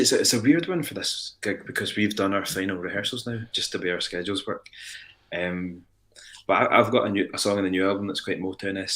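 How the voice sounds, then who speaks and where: Scottish male musician guest, deep voice, casual and rambling. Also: a bit bright. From Scotland. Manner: rambling, frequent restarts and "like" fillers. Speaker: band frontman guest. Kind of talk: casual interview chat.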